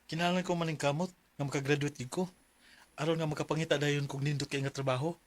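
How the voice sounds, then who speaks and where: An actor with a Filipino accent playing an earnest, sweet-talking young student boyfriend in a radio drama. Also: male voice, a little high, fairly even intonation, fast talker, no room sound, lightly acted. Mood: affectionate, earnest. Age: young adult.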